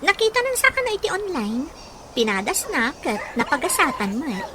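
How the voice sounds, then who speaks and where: A woman's voice: high-pitched, sing-song, fairly quick. An actress playing a clingy, discontented young woman with a plaintive manner in a radio drama. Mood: concerned. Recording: very noisy, with ambient sound.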